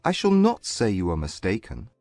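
A man playing a proud, reserved young gentleman with formal, measured speech in an audiobook drama. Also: lowish voice, wildly swooping pitch, creaky, dry recording, soft background music, full character voice. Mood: reserved, polite.

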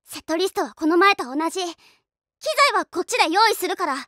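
A woman's voice: extremely high pitch, fast, bright, forceful tone, dry recording, clean studio sound. A woman voicing a stubborn, earnest teenage band girl in an anime, speaking with insistent, childlike emotion. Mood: determined. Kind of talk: Japanese anime voice acting.

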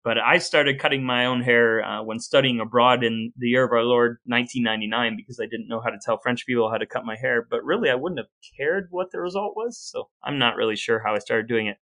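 Bright-voiced American man telling stories. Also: medium pitch, quick pace, hardly any pauses, rough recording. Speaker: podcast co-host. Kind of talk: casual podcast storytelling and chat.

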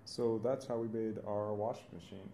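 A man's voice: fairly low pitch, moderate pace, slight background noise. A young man in his twenties, an engineering student, steadily explaining how his team built a hand-powered washing machine. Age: 20s. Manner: steady, instructional. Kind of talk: project explanation and demonstration.

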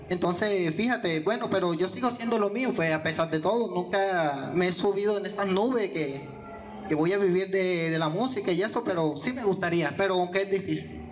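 A man's voice: high-pitched, slight background noise. A young man, answering interview questions into a handheld mic in an indoor hall, hesitant with many fillers and restarts. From Venezuela. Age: young adult. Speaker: guest musician. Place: indoor hall with yellow walls. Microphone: handheld microphone.

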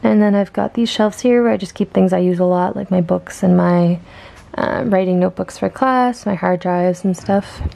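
A woman's voice: mid-range voice, normal speed, vocal fry, warm tone. A young woman from Canada, a student, chatting casually with ums and small laughs while walking through her campus and dorm with a handheld camera. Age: young adult. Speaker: student vlogger. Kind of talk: casual walkthrough narration. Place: outdoors on campus, then indoors in a dorm room.

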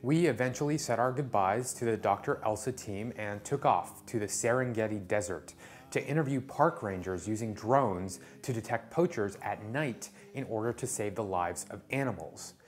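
A man's voice: fairly low pitch, moderate pace. A man hosting a video, narrating and interviewing with an upbeat, eager manner.

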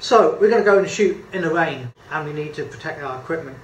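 A man's voice: on the high side, expressive, quick pace, slight background noise. A man in his fifties with a British accent, giving a casual photography tutorial at a table, talking chattily with lots of ums and uhs. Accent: British English. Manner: chatty, hesitant with many fillers, good-humoured. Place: indoor room at a table. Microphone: camera mic a few feet away. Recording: echoey room with faint background ambience.

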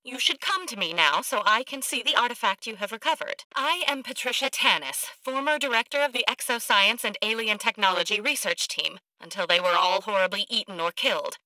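An actress voicing an eccentric, arrogant woman scientist in a video game, blunt and socially awkward, with clipped, precise, deadpan delivery. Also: mid-range voice, no echo, poor audio. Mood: haughty, deadpan.

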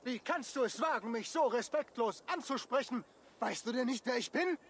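An actor playing a nervous, boastful, stuttering monk who poses as a pompous lord in a video game. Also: male voice, very high-pitched, animated, normal speed, slight background noise. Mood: blustering, flustered. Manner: stutters when nervous, blustering. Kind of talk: dramatic video game dialogue.

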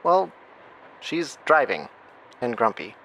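Flippant male hacker, performed by an actor, horror podcast. Talkative, awkward, average pitch, slight background noise. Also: big pitch swings, slow pace, a bit bright, heavily acted, low-quality recording. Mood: flustered, playful. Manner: rambling, flippant, self-interrupting. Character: chatty, awkward hacker friend leaving a secret recorded message. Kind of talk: horror audio drama acting.

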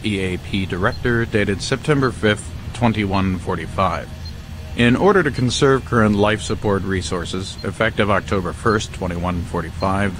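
A man's voice: fairly low pitch, fairly bright tone, slight background noise, lightly acted. An actor playing a formal, businesslike male director in a bureaucratic game audio log.

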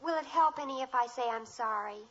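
An actress playing an earnest, excitable American teenage daughter in a 1950s radio sitcom, with girlish delivery. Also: high-pitched, fairly quick. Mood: contrite. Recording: dull, noisy, clicky old radio recording.

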